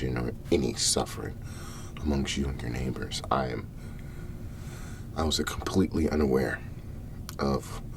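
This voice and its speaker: A man playing an apologetic agency investigator in an ASMR roleplay, soft-spoken, hesitant and formally measured. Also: a bass voice, background noise, voice pushed down. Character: apologetic, taken-aback male agency investigator on a case.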